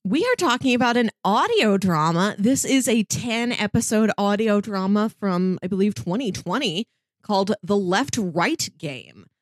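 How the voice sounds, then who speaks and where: A woman's voice: medium pitch, expressive, unhurried, no echo, studio quality. A woman co-hosting a podcast, chatting casually in a review discussion. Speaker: podcast co-host.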